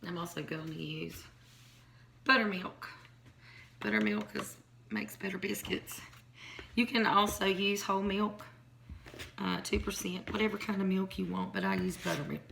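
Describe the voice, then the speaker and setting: A hoarse woman, chatting casually as she shows her home cooking in a kitchen. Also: mid-range voice, normal speed, pauses often, fairly bright tone. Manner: chatty, rambling, folksy. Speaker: home cook. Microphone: phone or camera on counter. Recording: noisy home recording.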